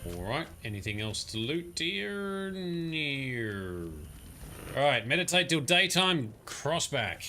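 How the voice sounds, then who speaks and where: A man's voice: on the high side, sing-song, slow pace, noisy background. A man giving casual, chatty commentary on a livestream while playing a game and talking to his viewers.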